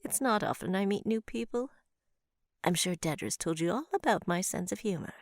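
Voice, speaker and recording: A woman voicing a teasing, motherly old forest witch in a visual novel, with theatrical delivery and drawn-out endearments. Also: mid-range voice, lively intonation, no echo, studio quality, close to an everyday voice. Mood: amused.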